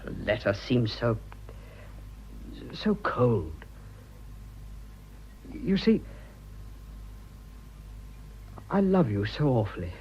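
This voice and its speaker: An actor playing an earnest, awkward young English businessman in love, halting and self-conscious, in a period radio drama. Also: medium pitch, big pitch swings, darkish tone, highs cut off, slight background noise. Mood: shy, earnest. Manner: halting, self-conscious, blurting, clipped old-fashioned speech.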